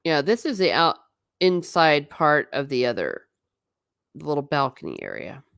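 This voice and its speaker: A woman's voice: deep voice, wildly swooping pitch, moderate pace, creaky, a bit bright, dry recording, clean studio sound. A middle-aged woman chatting on a stream about travel photos, rambling and self-deprecating. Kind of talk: casual stream commentary.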